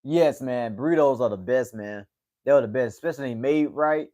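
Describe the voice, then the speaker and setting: A man in his late twenties talks loosely to viewers on a livestream. He rambles, repeats his words and tags "man" onto his sentences. His voice sits at an ordinary middle pitch. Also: moderate pace, warm tone. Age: 20s. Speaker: livestream host. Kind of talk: casual livestream chat.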